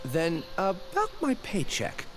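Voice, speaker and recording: A man's voice: high-pitched, huge pitch swings, takes it slowly, exaggerated voice. An actor playing an apologetic, eager-to-please young male research assistant in a video game, deferential and hesitant. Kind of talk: scripted game voice acting. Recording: noisy game audio.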